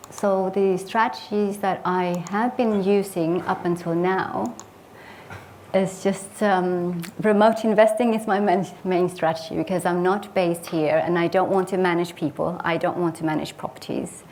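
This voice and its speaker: A woman's voice: fairly low pitch, steady tone, a little slow, a little mellow, a little hiss or hum. A woman who grew up in Sweden, a property investor, giving a personal case study talk in an earnest, reflective way with frequent hesitant fillers.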